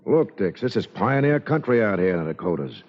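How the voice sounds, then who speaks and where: An actor playing an upright, plain-spoken, stubbornly principled Old West shotgun guard in a 1940s radio drama. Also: male voice, fairly low pitch, very animated, a little fast. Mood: earnest. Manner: plain-spoken, earnest, folksy. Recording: muffled old radio recording.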